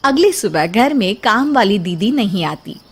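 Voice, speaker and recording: A woman's voice: mid-range voice, very animated, unhurried, husky. A woman narrating a scripted comic bedtime story.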